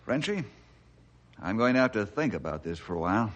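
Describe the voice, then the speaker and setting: An actor playing an earnest, level-headed forest ranger in a radio drama, with a measured way of talking. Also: male voice, on the low side, big pitch swings, muffled recording. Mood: thoughtful. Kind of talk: old-time radio drama acting.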